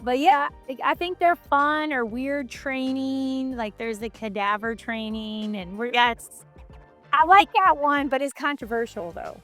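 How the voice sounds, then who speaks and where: A woman's voice: high voice, a little slow, fairly bright tone, soft background music. A woman from Michigan hosting a casual business chat, talking in an enthusiastic, chatty way full of "like". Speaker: host.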